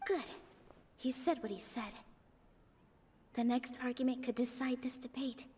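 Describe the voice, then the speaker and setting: A woman playing a gentle, earnest young theater dancer in an anime game. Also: sits high, fairly even intonation, measured pace, very noisy. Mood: hesitant.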